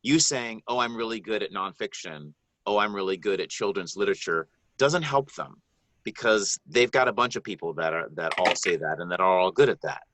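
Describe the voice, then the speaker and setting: A man's voice: fairly low pitch, brisk, fairly bright tone, low-quality recording. A man from the Bahamas, a guest narrator, giving earnest, rambling advice in a live video Q&A, with many ums and restarts.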